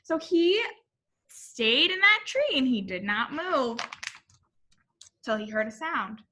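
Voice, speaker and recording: A woman reading a picture book aloud in an animated, expressive way, as if to young children. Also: high voice, slow, a little hiss or hum. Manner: expressive, addressing young listeners.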